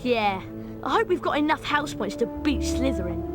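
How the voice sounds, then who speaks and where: A woman voicing an earnest, excitable school-age child in a wizard video game, youthful and emotional. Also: high voice, normal speed. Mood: eager. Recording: loud music under dialogue.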